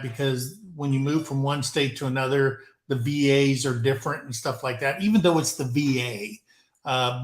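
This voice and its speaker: A man in his sixties co-hosting a casual livestream chat, telling rambling stories with jokes. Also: medium pitch. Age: 60s. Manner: joking, rambling storyteller. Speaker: co-host of a livestream chat show. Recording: echoey room.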